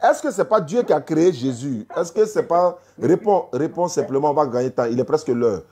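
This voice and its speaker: A woman hosts a live religious debate. Her voice is husky and swings widely in pitch. She is insistent, firing short, repeated questions at the other speaker. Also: lively intonation, fairly quick, warm tone. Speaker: host taking phone calls on religion.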